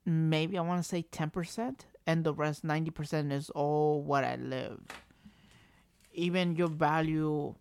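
Slow, rambling, frank man in his thirties. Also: on the high side, dry recording. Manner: rambling and frank, leaning on "trust me" and "like"; occasional mild cursing. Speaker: vlogger. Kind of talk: candid personal storytelling. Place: indoors at home, construction noise nearby.

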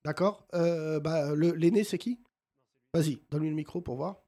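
A man hosting a live comedy talk show, teasing guests and the audience with rapid-fire jokes. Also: a little high, warm tone. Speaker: host.

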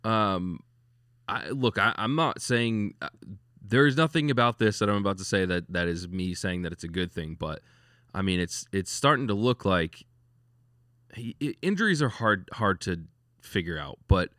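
Male podcast host, low voice, dry close sound, rambling casual sports talk. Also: animated, moderate pace, fairly bright tone, no room sound. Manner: rambling, lots of restarts and fillers, laughs easily.

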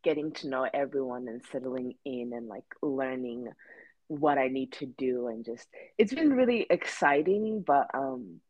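Low-voiced young woman chatting loosely. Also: animated, measured pace, no echo, lo-fi sound. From Rwanda. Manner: rambling, many fillers and restarts. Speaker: podcast co-host. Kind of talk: casual chat.

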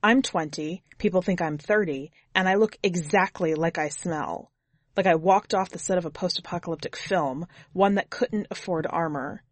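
Casting: female reader as sardonic young hitchhiker, slightly low voice, blunt and jaded, dry studio sound. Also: normal speed, no echo, close to an everyday voice. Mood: wry, jaded. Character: jaded, foul-mouthed twenty-year-old drifter hitchhiker. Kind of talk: first-person audiobook narration.

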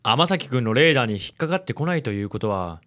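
A man as a calm, suave detective's associate. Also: medium pitch, fast talker, natural voice. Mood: pondering. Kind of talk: radio drama dialogue.

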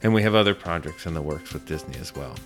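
A man from South Florida with an American accent, telling stories in an interview in a halting way, repeating words. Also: a bass voice, expressive, fairly bright tone, faint music behind. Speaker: business owner being interviewed. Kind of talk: conversational interview storytelling.

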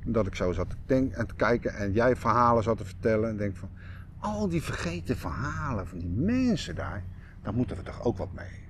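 A man's voice: on the low side, lively intonation, brisk. Reflective man, faint background noise. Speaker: podcast co-host. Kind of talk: casual chat.

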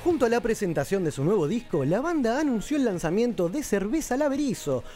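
A man with an Argentine Spanish accent reads music news in a steady, scripted announcer style. His voice sits high for a man, with a mellow, warm tone, and a faint bed of music runs underneath. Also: fairly quick. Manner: steady announcer delivery reading dates and venues. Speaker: radio show host reading music news.

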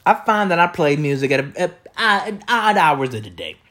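A man with a loud, projecting voice rambling through a comedic solo monologue as a podcast host, repeating himself and tossing out rhetorical questions. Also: a little high, big pitch swings, moderate pace. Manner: repetitive, riffing with catchphrases and rhetorical questions. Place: indoor room beside an open window.

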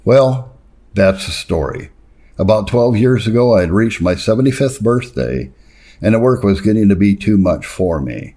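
A man's voice: lowish voice, lively intonation, moderate pace, mellow, breathy edge. A man reading a first-person testimony aloud in an earnest, plain-spoken storytelling way. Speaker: reader.

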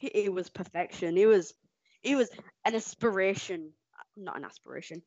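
A woman co-hosting a casual podcast, excitable and quick to laugh. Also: medium pitch, dry recording, muffled recording. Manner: excitable, laughs often, animated outbursts. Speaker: podcast co-host. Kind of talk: casual chat ranking wrestlers.

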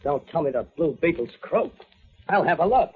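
An actor playing a gruff, dim-witted henchman who talks in rough tough-guy slang, in 1940s radio acting. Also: on the high side, very animated, moderate pace, faint music behind, full character voice. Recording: muffled old radio recording with hiss.

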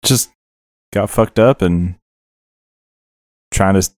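A man's voice: sits low, takes it slowly, a little mellow, no room sound, studio quality. A young man chatting casually and joking in a laid-back way with friends. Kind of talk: casual chat.

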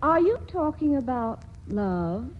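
High-voiced restless radio heroine. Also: female voice, big pitch swings, takes it slowly, exaggerated voice. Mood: wry, detached. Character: restless, discontented young woman, wry, lovelorn and stubborn. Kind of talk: 1940s radio acting. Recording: dull, noisy 1940s radio broadcast recording.

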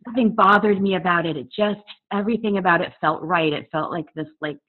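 A woman in her forties, a poet and author, speaking candidly and reflectively on a video call interview, often pausing with fillers. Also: lowish voice. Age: 40s. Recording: tinny phone-like audio over a video call.

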